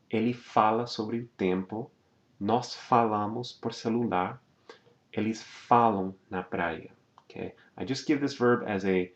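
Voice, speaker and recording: A man teaching a language lesson over slides. He talks patiently, says words slowly and keeps checking in with "okay?". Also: sits low, dull audio.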